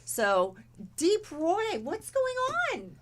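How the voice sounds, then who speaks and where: A woman's voice: very high voice, huge pitch swings, extremely slow. A woman hosting a live podcast, introducing guests with bubbly enthusiasm in casual chat. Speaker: podcast host.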